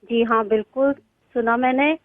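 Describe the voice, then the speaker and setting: A polite middle-aged woman speaks gratefully over the phone, her voice pitched a little high. The line sounds thin and tinny, dry with no room. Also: steady tone, normal speed, no echo. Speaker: caller.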